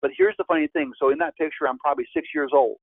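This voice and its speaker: A man in his fifties presents a real estate course in an emphatic teaching manner, his pitch jumping up on key points. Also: expressive, quick pace, gravelly, dry recording. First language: Spanish. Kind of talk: webinar presentation. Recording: tinny phone-like line, dry.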